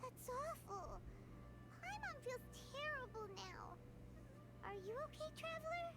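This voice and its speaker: A tiny childlike fairy companion from a video game. The voice is extremely high and squeaky, with a slow, drawn-out delivery and huge pitch swings. It sounds earnest and excitable. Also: female voice, full character voice. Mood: saddened, concerned. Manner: exclaiming, squeaky, speaks of self in third person.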